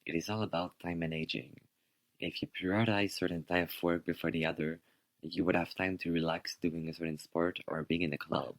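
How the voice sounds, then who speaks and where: A young male student with a low voice argues his side in a scripted radio debate. His wording is formal and measured, and the sound is close and dry. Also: steady tone, no room sound, low-quality recording. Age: young adult.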